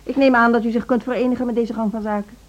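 An actress playing a formal, condescending woman who runs a music school in a radio drama, speaking in a measured, officious way. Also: on the high side, flat delivery, muffled recording, close to an everyday voice. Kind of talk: Dutch radio drama acting.

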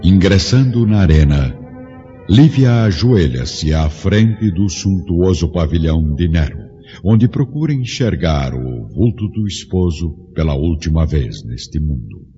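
Man, narrator, deep voice, dark mellow tone, dramatic, dull audio. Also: animated, unhurried. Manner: solemn and dramatic. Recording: dull audio with long reverb and music.

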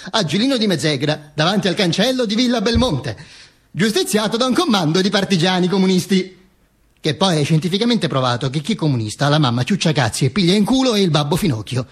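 An actor playing a dead, vain fascist dictator who narrates his own afterlife in a halting, mock-solemn stage monologue. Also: sits high, breathy edge. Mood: halting, rambling. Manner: halting, stammering repetitions, mock-solemn declaiming. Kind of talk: theatrical monologue, narrating to an audience.

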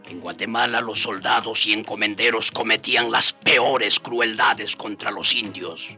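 An actor playing a friar's companion, recalling their journeys as a measured radio drama storyteller. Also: male voice, fairly low pitch, music in the background, lightly acted. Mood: reflective.

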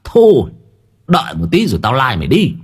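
A man voicing a gruff, foul-mouthed old man in an audio story, grumbling bluntly. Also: mid-range voice, wildly swooping pitch, a little fast, exaggerated voice. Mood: scornful.

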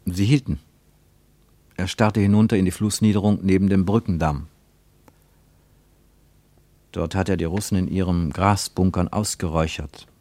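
A man reading a scripted literary narration for a radio play in a measured, deliberate way. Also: low voice, steady tone, dark tone, no echo.

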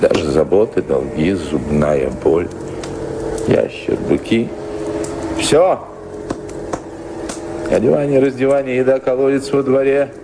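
An actor playing a brooding, world-weary lord of a castle in a radio play, speaking pensively with heavy pauses. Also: male voice, mid-range voice, very noisy, lightly acted. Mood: gloomy resignation. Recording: loud music under the voice.

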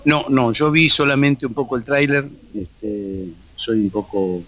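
A man speaking haltingly as an interview guest in casual conversation. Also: mid-range voice, a bit bright, rough recording. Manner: halting, hesitant phrasing.

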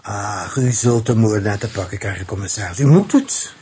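An actor playing a troubled film boss in a radio play, bossy yet pleading, with a halting, insistent manner. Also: male voice, fairly low pitch, mellow, a little hiss or hum, close to an everyday voice. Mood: agitated. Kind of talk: Dutch radio drama acting.

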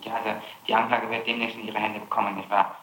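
Forceful male prosecutor, roomy noisy tape. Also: fairly low pitch, flat delivery. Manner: halting, probing, frequent tag questions. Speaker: public prosecutor questioning a suspect. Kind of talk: interrogation. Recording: noisy echoey archival interrogation tape.

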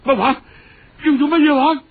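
A man voicing a kindly, emotional old uncle in Cantonese storytelling, sighing, halting and exclaiming as he speaks. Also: very high-pitched, fairly even intonation, narrow, tinny audio, pitched above the performer's own voice. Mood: urgent questioning.

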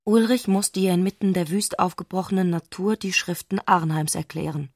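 A woman's voice: on the low side, steady tone, moderate pace, no echo, clean studio sound. A woman narrating a literary radio drama from a prose text.

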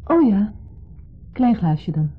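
Placating wife, courteous, animated pitch, 1970s radio drama, dull old recording. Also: female voice, slow, breathy edge, heavily acted. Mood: light, conciliatory. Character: polite, placating wife between husband and ex.

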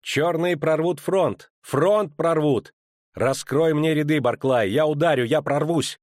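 A man voicing a fierce female mercenary captain who shouts urgently. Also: fairly high pitch, sing-song, measured pace, no room sound, clean studio sound, pitched above the performer's own voice. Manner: shouting urgently over battle noise.

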